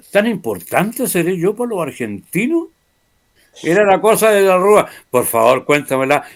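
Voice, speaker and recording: A man hosting a talk show, speaking in a formal, measured way. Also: fairly high pitch. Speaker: host. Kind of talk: talk show hosting and reading sponsor ads.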